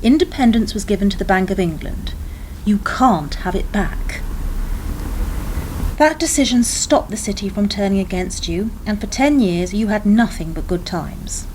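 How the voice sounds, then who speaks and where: A woman's voice: medium pitch, expressive, darkish tone, close to an everyday voice. Cool probing female agent, noisy recording woman acting. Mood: cool, accusing. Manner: measured, formal, interrogating. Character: cool, probing female security-service agent, former diplomat. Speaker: actor in a radio play. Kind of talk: radio play acting. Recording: noisy radio drama recording.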